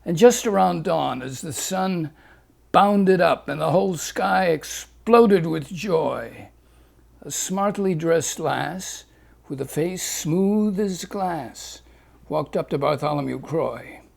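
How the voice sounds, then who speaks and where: A man reads a rhyming fable aloud with a lilting, rhythmic lilt. His pace is very unhurried and his voice has a gravelly grain. It sits a little higher than most men's. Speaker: storyteller.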